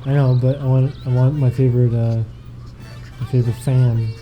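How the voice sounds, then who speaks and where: A young man chatting casually on a game stream, reading out donation messages in a relaxed, meandering way. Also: mid-range voice, normal speed, muffled recording, faint music behind.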